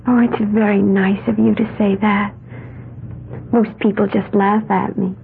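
A woman's voice: medium pitch, level tone, measured pace, vocal fry, phone-quality sound, slight background noise. An actress playing a dreamy young woman with odd beliefs who babbles in a wide-eyed, sing-song way, in a 1970s radio drama. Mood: earnest, dreamy. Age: young adult. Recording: band-limited old radio broadcast.

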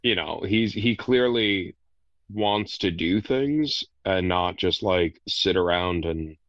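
A man's voice: sits low, lively intonation, a bit bright, no echo, lo-fi sound. A man co-hosting a casual sports podcast over a call, hedging with a lot of "like". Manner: slow, hedging with likes.

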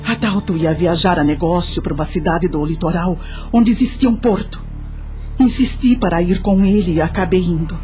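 A woman acting as a widowed mother in a radio drama, with a mellow, fairly low voice. She tells her life story in a measured, confiding way, full of gratitude and remorse, over clear background music. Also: natural voice. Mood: reflective, confiding. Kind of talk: dramatic first-person radio drama narration.

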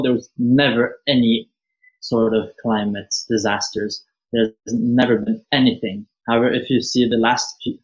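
A nineteen-year-old man from Norway speaks as an interview guest, his voice sitting fairly low. He talks earnestly and thoughtfully, pausing on fillers as he works through his ideas. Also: poor audio. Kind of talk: casual interview conversation.

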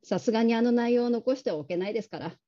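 A considerate female manager played by an actress with an extremely soft, dark tone. She speaks in a gentle, measured, courteous way, as in a radio drama. The sound is slightly muffled. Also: fairly high pitch, lightly acted. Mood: earnest, measured. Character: polite, caring, discreet female call-centre manager.

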